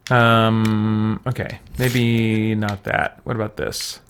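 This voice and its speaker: A man's voice: on the low side, level tone, slow pace, a bit bright, a little hiss or hum. A man streaming a video game, thinking aloud in casual commentary and chatting with viewers. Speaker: gaming streamer. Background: faint game music.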